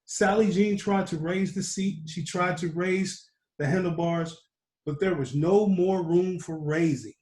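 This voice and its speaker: Higher-voiced man reading aloud, roomy echo. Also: measured pace, a little mellow. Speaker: storytime reader. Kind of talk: reading a children's picture book aloud.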